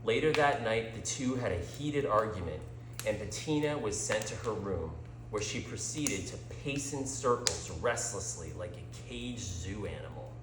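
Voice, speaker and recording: A man reading a story aloud as a storyteller, with deliberate, theatrical dramatic delivery. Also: on the low side, expressive, background noise. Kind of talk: scripted story narration, dramatic read-aloud. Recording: roomy, echoing sound.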